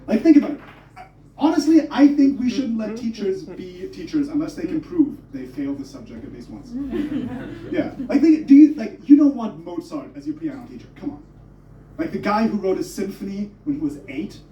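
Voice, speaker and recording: A man's voice: very high voice, normal speed, reverberant, distant mic, dull audio. A man from Germany performing a stand-up comedy set, talking with energy and swearing as he mocks himself. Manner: energetic, swearing, self-mocking. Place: comedy club stage.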